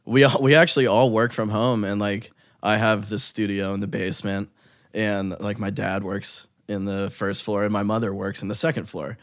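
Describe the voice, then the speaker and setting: A man's voice: fairly low pitch, little pitch movement, normal speed, dry recording, clean studio sound. A young man guesting on a podcast, talking in a loose, rambling way with lots of likes and ums. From United States. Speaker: guest musician. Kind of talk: casual interview chat.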